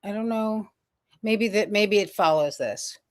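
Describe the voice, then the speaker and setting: A woman's voice: mid-range voice, measured pace. A woman co-host chatting casually about a case, blunt and wry in her remarks. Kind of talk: casual chat commentary.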